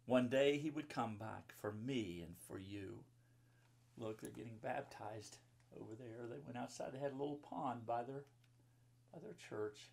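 Male pastor, 50s, mid-pitched with a husky edge, telling Bible stories to children in simple, explanatory language. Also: moderate pace, darkish tone. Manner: simple child-directed phrasing with explanatory asides. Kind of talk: children's Bible storybook reading and talk. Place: book-lined room indoors.

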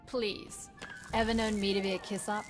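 A woman's voice: medium pitch, little pitch movement, a little slow, lightly acted. A woman playing a cheeky, irreverent young pilot in a video game, casual and sarcastic. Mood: teasing. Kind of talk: video game cutscene acting. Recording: loud music behind.

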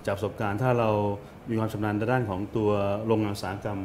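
An older man, a company director guest, speaking politely and deliberately in a studio talk show. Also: on the low side, fairly even intonation, darkish tone, slight background noise. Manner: deliberate, polite, frequent particles. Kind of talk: measured business talk.

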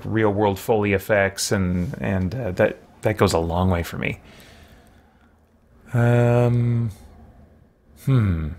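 A man in his thirties giving casual livestream commentary as he plays a video game, chatting and thinking out loud in a rambling way. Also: on the low side. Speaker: live streamer, game player. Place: small indoor room with shelves. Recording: clear game sound behind the voice. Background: video game ambience and effects.

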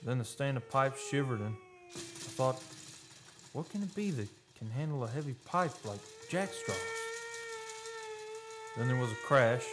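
An actor playing a haunted oil driller in a radio drama, telling his story in a folksy, halting, suspenseful way. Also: medium pitch, background noise, close to an everyday voice. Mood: tense, fearful. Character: haunted male oil driller recounting a terror. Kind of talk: live old-time radio drama monologue. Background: live dramatic music.

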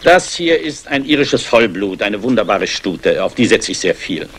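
An actor playing a courteous, guarded English aristocrat investor in a 1960s German crime radio play. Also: male voice, on the low side, sing-song, moderate pace, fairly bright tone, husky, full character voice. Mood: polite. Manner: courteous, urbane.

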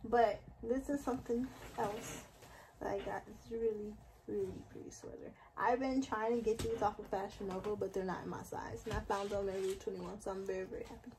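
A woman's voice: mid-range voice, fairly even intonation, a little fast, creaky, a little mellow. A young woman in her twenties chatting through a casual shopping vlog, rambling with fillers, filmed on a handheld phone in a mall and then at home. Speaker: vlogger. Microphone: handheld phone camera. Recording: noisy phone recording.

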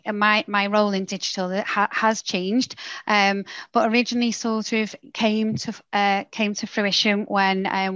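A woman in her thirties speaks on a conference video call through a headset, pausing often with fillers and restarting her sentences. The sound is dry and close, with a dull, lo-fi quality. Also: mid-range voice, fairly bright tone, no room sound. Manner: hesitant, many restarts and fillers. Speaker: panelist, senior nurse in digital health. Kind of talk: conference panel presentation. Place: home room, indoors.

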